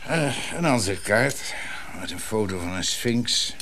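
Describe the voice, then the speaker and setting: A man's voice: lowish voice, animated, slow pace, pushed and bright, a little hiss or hum, full character voice. A man playing a lonely, nostalgic older Dutchman in a radio drama, savouring and hesitant. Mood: wistful. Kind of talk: naturalistic radio play dialogue.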